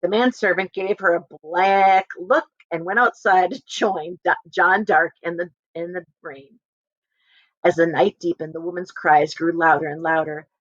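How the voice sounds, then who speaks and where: A woman's voice: lowish voice, steady tone, unhurried, no room sound, lo-fi sound. A woman reading a children's novel aloud expressively as a teacher, speaking to students and checking in with them.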